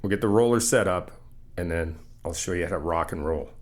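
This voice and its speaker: A man's voice: low voice, fairly quick. Easygoing, teasing man in ASMR roleplay. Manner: easygoing, teasing, reassuring, laughs often. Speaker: ASMR roleplay creator playing a supportive friend.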